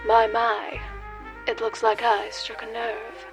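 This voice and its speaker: A woman's voice: fairly high pitch, monotone, takes it slowly, soft background music. A woman playing a mocking, teasing femme fatale in a comic noir radio play. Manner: teasing, sardonic, theatrical.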